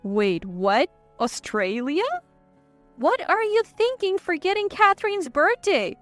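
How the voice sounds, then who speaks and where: A woman's voice: very high voice, sing-song, slow pace, a bit bright, no echo, soft background music, voice pushed up, full character voice. A woman playing an indignant, hurt young mother in a narrated story, emphatic and reproachful. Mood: upset, reproachful.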